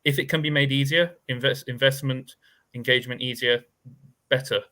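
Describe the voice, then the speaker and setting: Man, guest expert, crisp bright tone, hedging delivery full of fillers. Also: mid-range voice, steady tone, moderate pace. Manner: thoughtful, hedging, with many fillers and restarts. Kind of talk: discussion panel.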